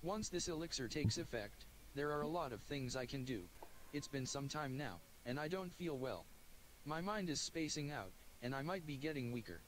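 Synthetic male narrator, slightly high, even mechanical reading, faint noise. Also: normal speed. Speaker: text-to-speech reader. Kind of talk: first-person story narration.

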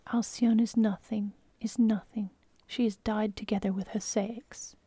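A woman voicing a grieving young wife, in a soft, slow unvoiced whisper. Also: medium pitch, flat delivery, breathy edge, no echo. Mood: grief-stricken. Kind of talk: whispered storytelling.

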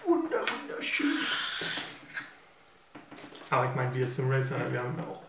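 A young man with a slight cold and a nasal voice, rambling excitedly with lots of filler sounds while unboxing gear at a living-room table. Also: mid-range voice, moderate pace. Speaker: unboxing video host. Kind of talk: casual unboxing commentary. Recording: roomy, distant camera audio with faint hiss.

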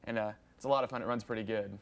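A man's voice: lowish voice, fairly even intonation, very fast. A young American man talking casually as an interview guest in an open garage. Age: young adult. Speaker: car owner being interviewed. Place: open home garage and driveway.

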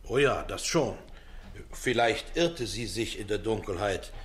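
Hesitant, low-voiced evasive young defendant. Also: male voice, lively intonation. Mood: uneasy, defensive. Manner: hesitant, colloquial, halting. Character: evasive young male defendant, casual, down-on-his-luck nephew. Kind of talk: courtroom radio play acting.

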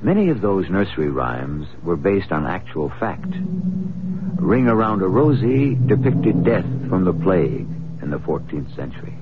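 A man playing an urbane, ominous host, narrating a radio mystery in a measured, theatrical way. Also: sits low, very animated, very noisy. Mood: ominous, wry. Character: ominous, urbane storyteller of eerie tales. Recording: muffled lo-fi radio recording, music behind.

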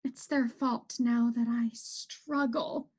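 Woman acting a slow, boastful, menacing virus. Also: a little high, steady tone, breathy edge, full character voice. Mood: bitter. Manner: theatrical, drawn-out, gloating, swinging from outbursts to hushed menace. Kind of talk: dramatic stage monologue. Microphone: computer microphone, close webcam framing.

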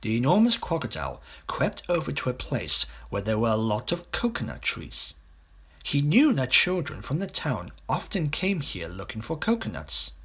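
A man reading a children's story aloud as an expressive audiobook narrator. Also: mid-range voice, normal speed, slightly rough. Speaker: audiobook reader.

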